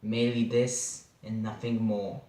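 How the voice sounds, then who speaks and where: A man giving a measured, solemn, theatrical reading of gothic poetry. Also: on the low side, level tone, mellow. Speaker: poetry reader. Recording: roomy echo, distant from mic.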